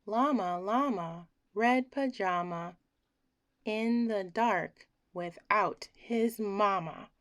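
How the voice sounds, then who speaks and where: A woman reading a children's bedtime story aloud in an expressive, theatrical way that acts out the emotions in the words. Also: medium pitch, drawn-out words.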